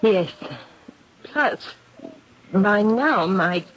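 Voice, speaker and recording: An actress playing a guilt-ridden spiritualist lady of an English manor in an old-time radio drama, earnest and husky. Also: mid-range voice, expressive, very slow. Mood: guilty, pleading. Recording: muffled old radio recording.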